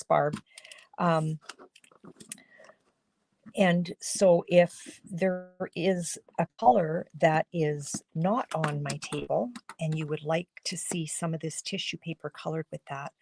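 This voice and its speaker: Woman host of a live craft stream, slightly hoarse, slow and chatty, greets viewers as she works. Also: lowish voice. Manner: chatty, thinks aloud while coloring, greets viewers by name. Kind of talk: casual live crafting demo talking to viewers.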